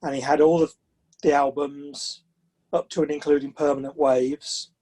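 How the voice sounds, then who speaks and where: A man's voice: fairly high pitch, little pitch movement, normal speed, warm tone, low-quality recording. A man of sixty from the south of England with a southern English accent, chatting and telling anecdotes as a podcast interview guest. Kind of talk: conversational interview storytelling.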